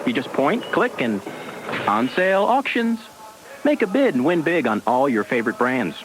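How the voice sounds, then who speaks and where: Man playing an animated, chatty elevator operator. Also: mid-range voice, moderate pace, lightly acted, poor audio. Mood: chirpy salesman. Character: chatty elevator operator guiding online shoppers. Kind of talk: comic radio commercial acting. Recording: AM radio ad with music.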